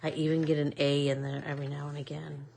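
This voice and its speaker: A woman's voice: deep voice, level tone, quick pace, dark tone, a little hiss or hum. A woman with a Midwest accent hosting a live art class, chatting casually and rambling with lots of fillers. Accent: American Midwest. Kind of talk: casual chat while painting.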